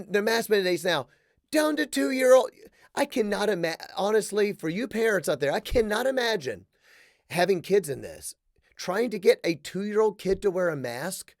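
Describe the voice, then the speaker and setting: A man in his thirties hosting a solo podcast, ranting in an animated, sarcastic way with quick jokes. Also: sits high, no room sound, studio quality. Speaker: podcast host. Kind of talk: casual solo podcast rant. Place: small room with wood-plank wall.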